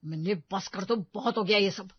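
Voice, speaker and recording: A fed-up, overburdened father, as a woman narrator acts him out, venting loudly in a domestic quarrel. The sound is dry and close, with no room echo. The top end is a little dull. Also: mid-range voice, moderate pace, slightly rough, lightly acted. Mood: exasperated. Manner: exasperated, complaining. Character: weary, overburdened husband and father.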